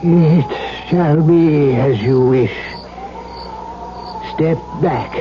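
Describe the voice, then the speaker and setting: Very slow, high-voiced old sorcerer. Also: male voice, heavy background noise. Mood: foreboding. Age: older. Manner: slow, portentous, incantatory. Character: grave old male alchemist sorcerer, solemn and foreboding. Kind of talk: 1970s American radio drama acting. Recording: dull 1970s radio broadcast with loud music.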